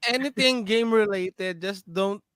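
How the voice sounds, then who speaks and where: A man's voice: high-pitched, unhurried, a crowd in the background. A man chatting casually as a panelist on a podcast. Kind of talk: casual chat.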